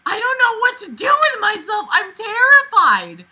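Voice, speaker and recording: A woman reading aloud and commenting with exasperated, animated, emphatic reactions that swing dramatically in intensity. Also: very high-pitched, unhurried.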